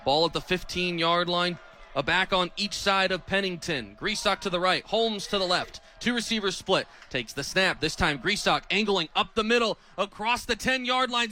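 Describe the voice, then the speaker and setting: A young American man calling live football play-by-play on radio, speaking in crisp, clipped phrases. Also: high voice. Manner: crisp, clipped phrases that build to excited calls on big plays. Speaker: play-by-play radio sports announcer.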